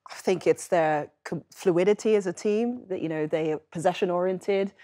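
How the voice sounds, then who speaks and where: Former player from England, lower female voice, measured analytical panel talk. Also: dark tone. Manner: measured, explanatory. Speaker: panel guest, former footballer. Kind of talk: analytical football chat. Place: bright studio, indoors.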